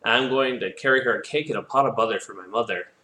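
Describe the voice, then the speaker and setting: A man's voice: mid-range voice, fast talker, bright, forceful tone, natural voice. A man voicing an innocent, wide-eyed little girl from a fairy tale, recorded in an echoey room. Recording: echoey room with faint noise.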